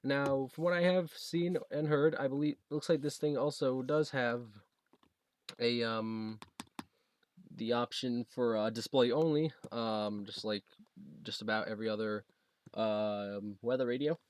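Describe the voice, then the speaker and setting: A young American man chatting casually through a home unboxing video, halting and rambling as he goes. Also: medium pitch, fairly even intonation. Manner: halting, rambling, reads box text aloud. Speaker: video creator showing a gadget. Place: basement room at home.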